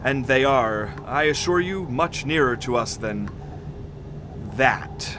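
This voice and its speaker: Casting: pedantic scientist, unhurried delivery, mid-pitched, utterly certain, over loud background rumble. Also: male voice, lively intonation. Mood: certain, dismissive. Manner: deliberate, pedantic. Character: pedantic, certain male scientist dismissing danger. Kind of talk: audio drama acting.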